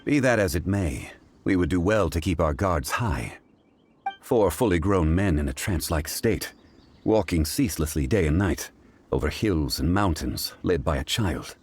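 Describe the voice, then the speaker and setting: A man voicing a calm, grave, learned gentleman in a video game, formal and measured in manner. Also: low voice, a little hiss or hum.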